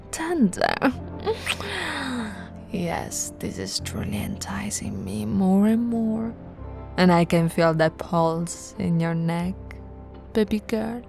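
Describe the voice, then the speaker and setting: Woman acting as slow, creaky-voiced possessive vampire queen. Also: mid-range voice, full character voice. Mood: hungry, seductive. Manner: slow, teasing, breathy whispering with soft laughs. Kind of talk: ASMR roleplay acting.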